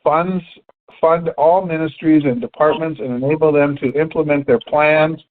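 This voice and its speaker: A man co-hosting a financial conference call, explaining in measured, halting phrases over a tinny telephone line. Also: fairly high pitch, fairly even intonation. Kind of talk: explanatory discussion, partly reading articles aloud.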